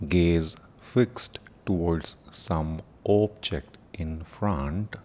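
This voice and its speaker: A man leading a guided meditation in a solemn, deliberate manner, speaking in short phrases with long pauses between them. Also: low-pitched, warm tone. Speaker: meditation teacher and podcast host. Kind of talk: guided meditation with prayerful invocation.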